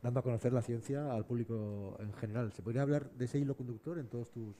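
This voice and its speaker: A man moderating a panel, putting questions in hesitant, measured phrases. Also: mid-range voice, mellow, a little hiss or hum. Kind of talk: interview questions at a panel discussion.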